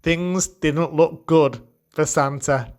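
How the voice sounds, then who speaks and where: A man's voice: fairly high pitch, fairly even intonation, slow pace. A man reading scripted storytelling narration for a Christmas radio comedy. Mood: wry storytelling.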